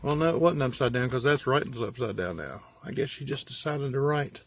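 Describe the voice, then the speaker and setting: An American man from West Virginia talking casually and informally as he shows things, pausing to think aloud. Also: mid-range voice, lively intonation. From West Virginia, USA. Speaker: hobbyist vlogger. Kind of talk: casual show-and-tell.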